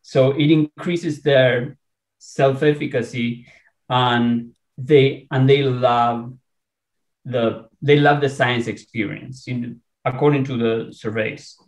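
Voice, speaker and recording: A man from Argentina with an Argentine Spanish accent, a researcher talking in a podcast interview, enthusiastic and halting with many restarts. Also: medium pitch, fairly even intonation, echoey room, rough recording. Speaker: researcher and guest.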